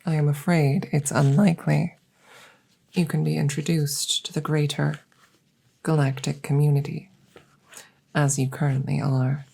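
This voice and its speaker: A woman voicing a curious, cautious alien scientist in a soft, hushed ASMR roleplay, speaking formally and deliberately and slipping into whispers. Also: low-pitched, level tone, vocal fry, breathy edge, heavily acted. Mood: grave concern. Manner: measured, formal, deliberate phrasing.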